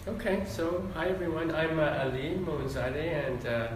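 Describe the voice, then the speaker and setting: A man born in Iran and raised in Ohio, with a Midwestern accent, giving a relaxed, rambling interview answer full of fillers. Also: fairly high pitch, normal speed, slight background noise. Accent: Midwestern American. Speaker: interview guest, doctor and psychologist. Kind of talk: casual conversational interview. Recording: very echoey room, mic at a distance.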